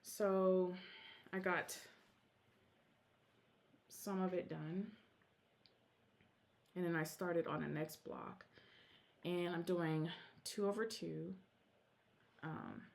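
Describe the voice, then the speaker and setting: Slow, gravelly woman chatting casually. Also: lowish voice, fairly even intonation. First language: Korean. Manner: chatty, rambling, self-interrupting. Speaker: Flosstube vlogger. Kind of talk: casual show-and-tell vlog.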